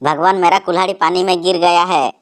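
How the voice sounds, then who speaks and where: A woman's voice: on the low side, steady tone, a little fast. A woman voicing the bird characters in a Hindi cartoon story, in a plain everyday voice. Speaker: voice actor for cartoon birds.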